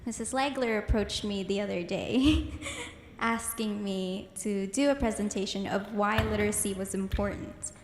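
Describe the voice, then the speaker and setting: A teenage girl from the Philippines, first language Ilonggo, gives a prepared talk in an earnest, measured way. Her voice rings through a large hall with a long reverb tail. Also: mid-range voice, background noise. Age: teens. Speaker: student speaker. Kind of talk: prepared presentation.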